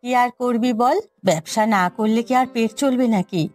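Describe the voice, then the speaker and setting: A caring village mother in a cartoon, played by a woman. She chides and soothes in a high voice, over faint music. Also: normal speed, a little mellow, natural voice. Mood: soothing, explaining. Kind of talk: Bengali moral cartoon dubbing.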